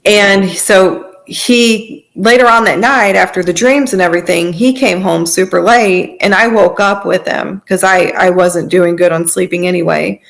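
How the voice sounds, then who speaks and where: A woman telling her personal story as a podcast guest, in a conversational, earnest and halting way. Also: lowish voice, gravelly.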